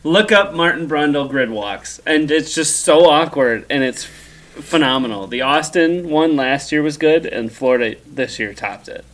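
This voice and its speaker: A man's voice: fairly high pitch, expressive, measured pace, a bit bright. Casual man chatting on a podcast. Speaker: podcast co-host. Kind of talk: casual group chat.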